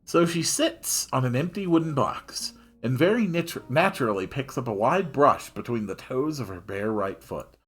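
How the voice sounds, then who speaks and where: A man's voice: a little high, darkish tone, slightly rough. A man reading a story aloud expressively, dropping in wry, casual asides of his own. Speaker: host reading a visual novel aloud.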